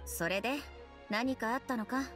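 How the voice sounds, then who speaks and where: A woman plays a young patrol captain in an anime game, stern and slightly high in pitch. She speaks formally and with dry authority, with music behind her. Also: normal speed, lightly acted. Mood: dry, wary.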